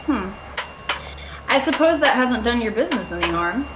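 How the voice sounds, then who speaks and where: A woman's voice: fairly high pitch, lively intonation, normal speed, background noise, lightly acted. A woman playing an uneasy, haunted motorist driving alone, telling her story dramatically in an amateur radio thriller. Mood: guarded, polite. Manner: dramatic first-person storytelling. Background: music.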